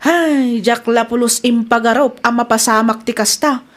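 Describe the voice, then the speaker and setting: An actress playing a sharp-tongued village daughter in an audio drama, teasing and scolding. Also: female voice, fairly high pitch, fairly even intonation, normal speed, natural voice.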